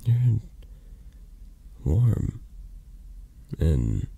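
A man playing a flirty, possessive, tender mafia boss in an intimate ASMR roleplay, hushed and halting, drifting into whispers. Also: low voice, huge pitch swings, creaky, slightly rough, heavily acted. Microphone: close microphone, dry sound.

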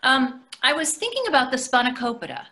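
An older woman acts as a polite, hungry female tourist in a stage comedy. Her bright, fairly high voice moves in lively steps as she hesitantly placates. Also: fairly quick, exaggerated voice. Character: polite, hungry New York tourist diner, conciliatory. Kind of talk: readers theatre comedy acting. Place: plain room indoors on a video call. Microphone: video call microphone.

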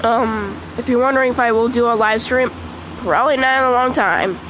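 A woman with a congested voice chatting casually over mobile gameplay, excitable and squealing at the game. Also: a little high, fairly quick, a little hiss or hum, rough recording. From Michigan. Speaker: gaming channel host. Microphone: phone screen recording.

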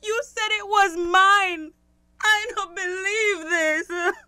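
A spoiled young daughter in a radio drama, extremely high and piercingly bright. She whines slowly and tearfully, milking every demand. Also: steady tone, no room sound, clean studio sound, full character voice. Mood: shocked, tearful pleading. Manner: whining, melodramatic.

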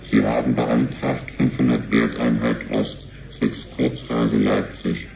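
A man's voice: extremely low pitch, flat delivery, slow, creaky, darkish tone, exaggerated voice. A man playing an emotionless bank terminal machine in a sci-fi radio drama, announcing in a clipped, formal way. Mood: flat, official. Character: slow, monotone bank terminal machine, very deep. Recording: echoey with faint noise.

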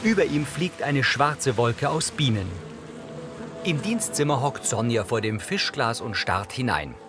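A man's voice: medium pitch, very animated, measured pace. Male audio-description narrator over music. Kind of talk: scripted descriptive narration of a TV drama.

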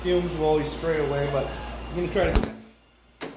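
A man's voice: a little high, steady tone, noisy background. A middle-aged man talks through a welding test in his home garage, stopping often to hunt for words. He is recorded on a phone lying on the workbench, sometimes from a few steps away. The air conditioning hums faintly behind him. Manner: rambling, halting with many fillers. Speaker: hobby welder vlogger. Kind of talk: casual demonstration vlog. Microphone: phone camera set on the bench, sometimes far off.

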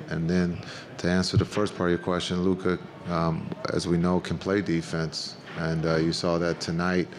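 A middle-aged man, a head coach answering reporters' questions at a postgame press conference, speaking in a measured, plain way with many fillers, into a table microphone. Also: low voice, noisy background. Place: press conference room with backdrop.

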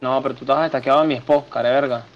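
Quick man in game voice chat. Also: mid-range voice, fairly even intonation, highs cut off. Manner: slangy, foul-mouthed, repeats words. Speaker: online game teammate. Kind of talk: casual game callouts. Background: music.

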